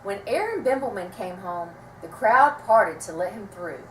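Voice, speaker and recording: A woman reading a children's story aloud with expressive, dramatic storytelling delivery. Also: medium pitch, normal speed. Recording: roomy, distant mic, faint noise.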